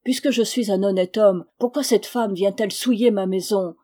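A wronged peasant husband from a storybook, acted out by a woman reader. The voice is husky and mellow in tone, yet harsh and insistent, with a stubborn temper behind it. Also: mid-range voice, fairly quick, lightly acted. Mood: exasperated. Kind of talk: dramatic audiobook character reading.

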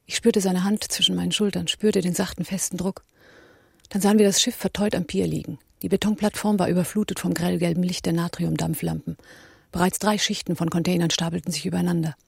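An actress in a German radio drama playing a watchful, composed woman accomplice with a husky, creaky voice. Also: fairly low pitch, level tone, fairly quick, darkish tone, dry recording, studio quality, full character voice. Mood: watchful, suspenseful.

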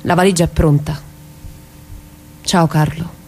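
A hurt, resolute wife in a stage monologue. She speaks intensely, in a slightly low voice, theatrical throughout. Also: steady tone, unhurried, warm tone, a little hiss or hum. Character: wounded, resolute wife searching for her man's heart.

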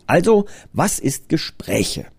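A man's voice: on the high side, big pitch swings, slow, darkish tone, breathy edge, dry recording, studio quality. A man presenting his own audio drama in a scripted but conversational trailer, explaining things earnestly.

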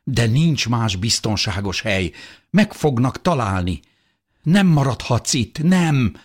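A protective father , played by a male narrator, insists urgently in a raised, rough-edged voice. He sounds distressed and forceful, in the manner of dramatic storybook reading. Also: mid-range voice, sing-song, pitched above the performer's own voice. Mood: shocked insistence. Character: urgent, protective father refusing to leave his family.

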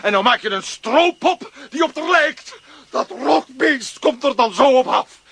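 A blustering bald schemer. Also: male voice, very high-pitched, lively intonation, a little slow, gravelly, a bit bright, husky, full character voice. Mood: scheming. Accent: Flemish. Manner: blustering, theatrical. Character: bald, grumpy, scheming middle-aged man. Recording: music playing behind.

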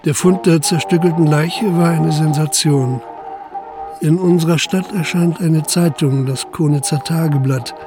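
Radio play role: methodical male police inspector, played by an actor. Lighter-pitched, measured, probing, factual, music underneath. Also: close to an everyday voice. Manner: measured, probing, matter-of-fact. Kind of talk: German radio drama narration and dialogue.

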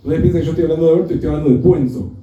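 A man's voice: on the high side, very fast, noisy background. A man in his thirties from Mar del Plata, speaking as a film critic on a bookstore panel in a wry, discursive way. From Mar del Plata, Argentina. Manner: discursive, wry, builds arguments in long runs. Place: bookstore event room. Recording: distant, echoey, dull room recording.

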